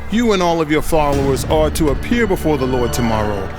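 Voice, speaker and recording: A man's voice: fairly high pitch, very animated, a little fast, music in the background, crowd noise. A man voicing an old prophet who speaks earnestly and warns the people. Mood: solemn. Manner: earnest, warning. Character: solemn old male prophet and leader.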